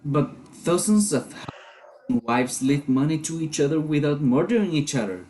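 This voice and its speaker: A man's voice: a little high, expressive, moderate pace, dark tone, slight background noise, natural voice, low-quality recording. A man performing in a readers theater as a guarded husband, skeptical and questioning about a plan to save his wife. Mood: skeptical, resisting. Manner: doubtful, questioning.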